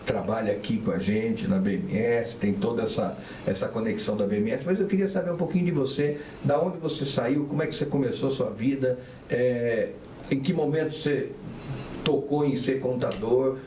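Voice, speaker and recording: A man's voice: mid-range voice, moderate pace, dark tone. A man hosting a casual podcast interview, chatty and leaning on tag questions, asking his guests about themselves. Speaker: podcast host. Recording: echoey room, mic at a distance, faint noise.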